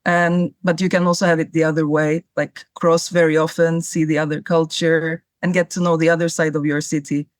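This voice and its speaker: A young woman from Cyprus, a native Turkish speaker, answering interview questions in a thoughtful, measured way. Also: deep voice, little pitch movement, dry recording, clean studio sound. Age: young adult. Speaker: guest, travel writer.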